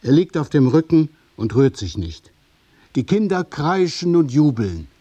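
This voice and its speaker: A man narrating a scripted radio drama in the present tense, with deliberate, measured phrasing. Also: a little high, very animated, mellow.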